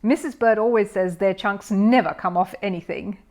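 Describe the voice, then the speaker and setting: A woman's voice: mid-range voice, normal speed, darkish tone, lightly acted. A woman reading a storybook as a polite, earnest young male bear, eager and hopeful in manner. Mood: eager, confident.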